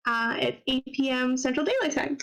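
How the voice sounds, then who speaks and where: A woman's voice: sits high, moderate pace, creaky, fairly bright tone. A woman plugging her streams in a cheerful, chatty way. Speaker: guest streamer.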